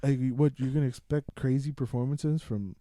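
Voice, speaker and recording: Male podcast co-host, very soft dark tone, mid pitch, dry close sound, opinionated casual banter with swearing. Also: steady tone, brisk, no echo. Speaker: podcast co-host reviewing films.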